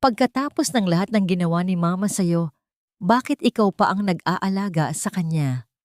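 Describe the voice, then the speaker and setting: A woman voicing a remorseful, humbled wealthy man in an audio storybook drama, hushed and earnest. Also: fairly low pitch, expressive, normal speed, dry recording, clean studio sound, lightly acted. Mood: puzzled, ashamed.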